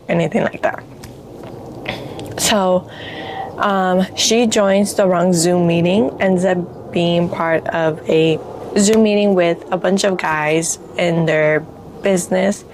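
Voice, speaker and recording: Woman book vlogger, lower-pitched and raspy, slightly congested, unhurried casual chat. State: congested from allergies.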